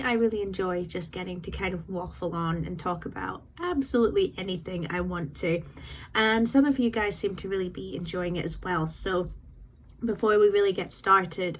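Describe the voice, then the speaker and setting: A woman's voice: lowish voice, a little hiss or hum, low-quality recording. A young woman hosting her own podcast, chatting in a rambling, unscripted way with frequent laughs, a bit sniffly from a cold. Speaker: podcast host. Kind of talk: casual unscripted chat.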